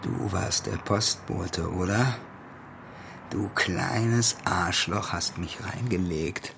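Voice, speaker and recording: An actor playing a brooding, cynical young ex-soldier in a radio drama, terse and challenging. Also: male voice, low voice, slow, vocal fry, background noise, exaggerated voice. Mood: guarded, hostile. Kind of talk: German radio drama dialogue.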